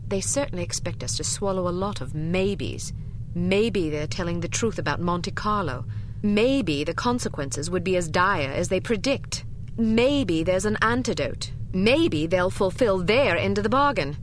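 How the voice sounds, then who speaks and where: Skeptical smart secret agent in a game cutscene, kinda low voice, dry and questioning, slight background noise. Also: female voice, lively intonation, unhurried. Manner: cool, sardonic, questioning. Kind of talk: video game cutscene acting.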